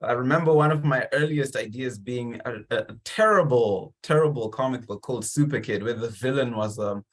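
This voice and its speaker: A man's voice: medium pitch, a little fast. Male interview host, mid-range voice. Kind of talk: conversational interview.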